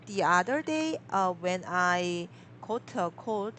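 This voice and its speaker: A woman with a cold and a sore throat speaks as a learner, slowly and haltingly, breaking off to restart her sentences. She fills the gaps with hesitant sounds. A faint hiss sits under her voice. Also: fairly low pitch, animated, fairly bright tone. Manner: halting, many fillers and restarts. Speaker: English learner. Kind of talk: casual conversation practice.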